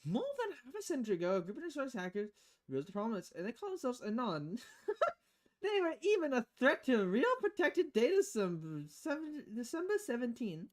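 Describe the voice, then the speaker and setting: A woman's voice: on the high side, big pitch swings, normal speed. A woman streaming a visual novel, reading the text aloud expressively and often stumbling and correcting herself. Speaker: video game streamer.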